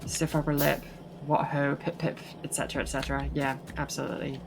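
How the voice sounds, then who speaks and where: A woman's voice: sits low, flat delivery, brisk, vocal fry, background noise. A British woman with a shifting mix of English, Scottish and American accent, chatting casually and rambling with many restarts while streaming a game. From Britain.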